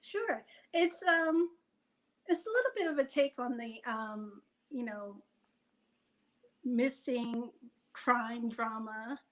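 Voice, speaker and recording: High-voiced woman in casual interview. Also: animated, slow, long pauses. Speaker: podcast writer, guest.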